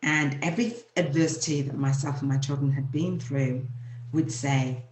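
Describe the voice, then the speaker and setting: A woman's voice: extremely low pitch, fairly even intonation, normal speed, a little mellow. A woman with a Leeds Yorkshire accent, hosting her own show and earnestly telling her personal story, laughing now and then through emotional moments. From England, UK. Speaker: show host. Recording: echoey room.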